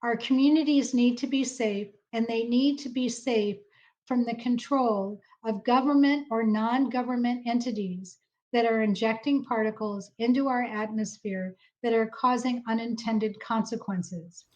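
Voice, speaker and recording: A woman giving an advocacy speech in a measured, serious, formal way. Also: fairly high pitch, steady tone, darkish tone, lo-fi sound.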